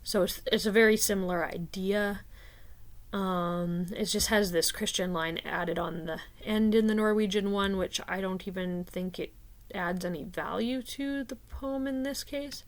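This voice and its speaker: Slow, hesitant Canadian woman musing aloud. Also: mid-range voice. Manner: unhurried, hesitant, many fillers like "um" and "like". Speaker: video creator discussing rune poems. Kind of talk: casual spoken commentary.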